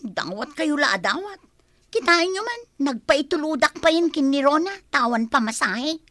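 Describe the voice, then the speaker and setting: High-voiced, glum young Ilocano working woman. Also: animated, moderate pace, a bit bright, no echo. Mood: defensive, exasperated. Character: broke, defensive young working daughter, gloomy and complaining. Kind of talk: radio drama acting.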